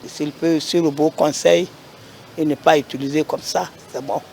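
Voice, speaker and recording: A woman's voice: low voice, fairly even intonation, moderate pace, vocal fry, a little mellow, soft background music. A woman, plainly giving her own advice in a TV testimony and repeating her points. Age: 80s. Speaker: interviewee. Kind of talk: testimony to a TV program.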